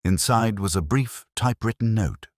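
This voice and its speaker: A man narrating a scripted mystery story in a measured, formal way. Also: fairly low pitch, lively intonation, no echo, studio quality.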